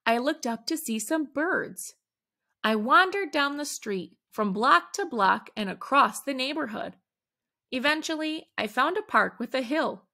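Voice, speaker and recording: A woman reading a picture book aloud to children, at an unhurried, deliberate pace. Her voice sits a little high and sounds bright, with a gravelly edge. She leans into the big moments with animated, dramatic emphasis. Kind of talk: expressive read-aloud storytelling.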